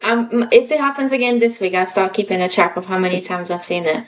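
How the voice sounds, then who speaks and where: A woman's voice: mid-range voice, fairly even intonation, a little fast, recorded from a distance, slight background noise. A woman co-hosting a podcast in casual chat, informal and self-deprecating, rambling a little as she goes. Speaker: podcast co-host.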